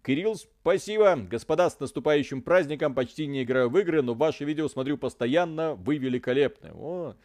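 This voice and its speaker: A man's voice: on the high side, lively intonation, a little fast, hardly any pauses, darkish tone, dry recording. Casual male streamer reading donations. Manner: loose, swears, trails off and restarts. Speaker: stream co-host.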